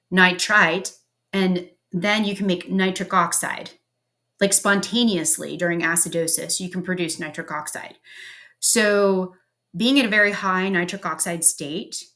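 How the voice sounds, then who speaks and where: Woman explainer, lowish pitch, bright tone, chatty lecture style with fillers, recorded at home. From Pennsylvania, US. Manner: casual and conversational, with frequent ums and likes. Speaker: health educator. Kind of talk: explanatory health lecture over slides.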